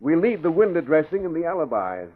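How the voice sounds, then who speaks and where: An actor playing an older crime boss who gives calm, bossy orders, with a husky voice, in 1940s radio acting. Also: male voice, fairly high pitch, a little fast. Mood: commanding. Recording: tinny old radio broadcast.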